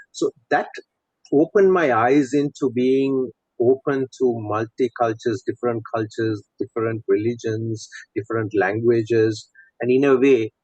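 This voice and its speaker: A man's voice: mid-range voice, darkish tone, breathy edge, no room sound. A middle-aged man with a Sri Lankan accent, talking conversationally about himself as an interview guest. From Sri Lanka and Saudi Arabia. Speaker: podcast guest, coach. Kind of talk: conversational personal account in an interview. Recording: dull, lo-fi, dry audio.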